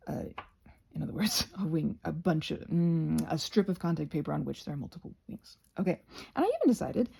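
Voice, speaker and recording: A woman's voice: mid-range voice, creaky, mellow, slight background noise. A woman giving a rambling, casual craft tutorial as host, chatty and playful, with scattered, self-deprecating asides.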